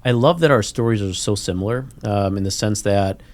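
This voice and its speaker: A man's voice: fairly low pitch, fairly quick, a little mellow. A man from Ohio, a dentist who hosts the show, chatting about his own practice in a conversational way. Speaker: dentist, podcast host. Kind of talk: casual interview talk.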